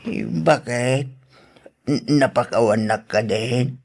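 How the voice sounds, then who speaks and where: A man's voice: mid-range voice, takes it slowly, vocal fry, a little mellow. A man with an Ilocano accent playing a gambling, hard-drinking husband who wheedles and pleads in a radio drama. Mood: meek, worried.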